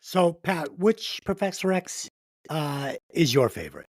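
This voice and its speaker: A man's voice: on the high side, big pitch swings, measured pace, no room sound, clean studio sound. A man co-hosting a podcast in casual, conversational chat. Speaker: podcast co-host.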